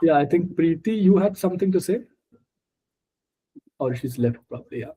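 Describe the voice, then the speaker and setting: A man's voice: fairly high pitch, lively intonation, normal speed, warm tone, breathy edge. A man from India with an Indian accent and Marathi as his first language, teaching a scripture study group informally, explaining word by word. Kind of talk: informal explanatory lesson with questions.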